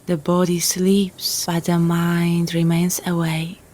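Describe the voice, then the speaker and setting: Very slow, soothing woman guiding relaxation. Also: lowish voice. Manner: calm, soothing, gentle, with long pauses. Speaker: yoga nidra guide. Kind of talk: guided relaxation meditation.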